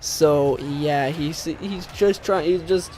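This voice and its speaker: A man's voice: a little high, slow pace, a little mellow, slight background noise, low-quality recording. A young man with a cold talks with a stuffed-up nasal voice, rambling casually through a comic book review with many fillers and restarts.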